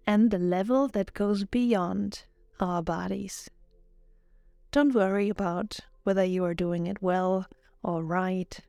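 A woman narrating a guided relaxation meditation in a calm, soothing, measured way, as a yoga nidra guide. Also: mid-range voice, vocal fry, dry recording. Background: background music.

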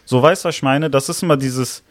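A man's voice: mid-range voice, steady tone, quick pace, no echo, clean studio sound. Slangy young man arguing his point. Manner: slangy, argumentative, frequent "weißt du, was ich meine". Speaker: podcast co-host. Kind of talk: casual podcast debate.

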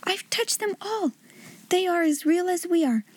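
A woman playing a small girl in a storybook, her voice lifted high and soft. She speaks in breathy half-whispers, tender and full of wonder. The sound is close and dry. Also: a little slow, dry recording. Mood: joyful. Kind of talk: audiobook character voice.

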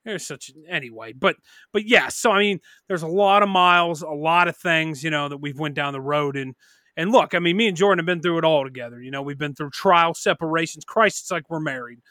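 A man's voice: a little high, brisk, no echo, studio quality. A man in his early thirties co-hosting a podcast, rambling and swearing in loose casual chat. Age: early 30s. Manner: profane, rambling, animated. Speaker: podcast co-host.

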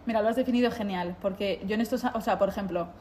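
A young adult woman with a Spanish accent touched by Italian, telling animated stories as an interview guest. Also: mid-range voice, rapid-fire. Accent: Spanish with Italian mixed in. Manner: animated storytelling, acts out quoted voices. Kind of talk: casual conversational interview. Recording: echoey room, faint background noise.

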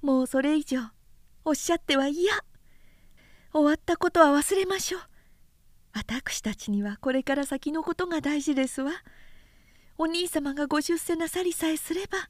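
A woman voicing a gentle, devoted young samurai's sister with polite, earnest, old-fashioned feminine speech, in a story reading. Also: high-pitched, moderate pace, pauses often, dry recording, clean studio sound, pitched above the performer's own voice, natural voice. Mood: pleading.

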